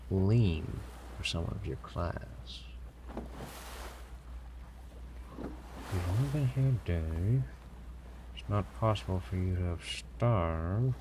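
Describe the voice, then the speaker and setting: Guy acting as a deep slow pirate captain, stern n menacing but secretly caring, audio roleplay, noisy ambience behind. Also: creaky, heavily acted. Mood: mocking, curious. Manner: slow, commanding, with sighs and feigned indifference. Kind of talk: audio roleplay acting, addressing a captive listener.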